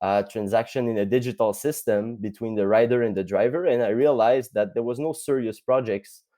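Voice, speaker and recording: French-Canadian man with a dark voice. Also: mid-range voice. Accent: Quebec French. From Quebec, Canada. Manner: thoughtful, many filler pauses. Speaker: guest, tech company CTO. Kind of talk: podcast interview.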